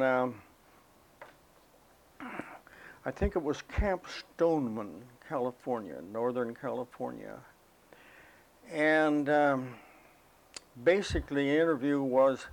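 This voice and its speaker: An elderly American man recalling his life as a veteran in an interview, speaking in a halting, reflective, unhurried way. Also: medium pitch. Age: elderly, 80s or older. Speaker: interviewee, war veteran recalling military service. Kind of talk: oral history interview, personal recollection.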